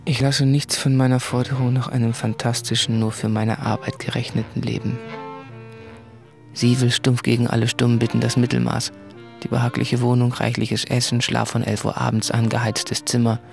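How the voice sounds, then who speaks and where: An actor playing a guilt-ridden male writer in a radio play, confessing in an intense, introspective, articulate monologue with a husky voice. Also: medium pitch, flat delivery, moderate pace, soft background music, lightly acted. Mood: insistent, brooding. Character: anguished, guilt-ridden male writer addressing his fiancée. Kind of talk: literary radio play monologue from letters and diaries.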